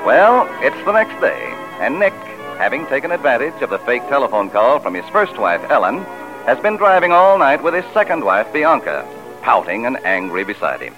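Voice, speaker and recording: A man's voice: medium pitch, sing-song, normal speed. A man announcing and narrating a 1940s radio program in a scripted style. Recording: dull vintage radio recording. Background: music.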